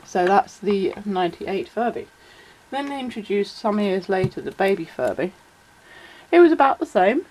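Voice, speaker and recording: Croaky woman with a cold rambling about her toys, lots of ums, normal pitch. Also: big pitch swings, normal speed, rough recording. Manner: chatty, many fillers, reads out shout-outs. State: croaky voice from a cold, sore throat. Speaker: toy collector vlogger. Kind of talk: casual explanatory vlog.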